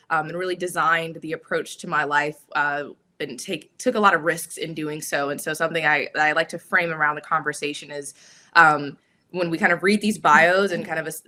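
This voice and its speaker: A woman's voice: low-pitched, sharp tone. A woman from Birmingham, Alabama, speaking as a panelist and introducing herself in a personal, conversational way with frequent fillers. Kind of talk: casual panel chat.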